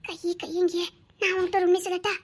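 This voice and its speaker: An actress playing a devoted wife quietly recounting how a mysterious hand always protected her. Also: very high voice, flat delivery, fast. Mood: wistful. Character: devoted young wife, wistful and trusting. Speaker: wife narrating her story. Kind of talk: soft dramatic monologue.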